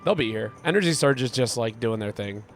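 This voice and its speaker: A male game streamer with a sick voice, gravelly and deep from congestion. He rambles casually, reading out and answering viewer messages. Faint game music plays underneath his mid-pitched voice. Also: animated, fairly quick. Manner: rambling, reads chat aloud and answers it. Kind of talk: casual live-stream chat while gaming.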